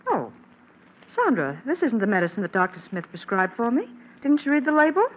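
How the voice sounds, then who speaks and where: Watchful mother, genteel, high-ish voice, expressive, muffled vintage radio drama. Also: brisk. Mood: controlled, wary. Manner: careful, genteel politeness. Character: polite, uneasy wife and stepmother, watchful. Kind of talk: 1940s radio acting. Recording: muffled 1940s radio recording.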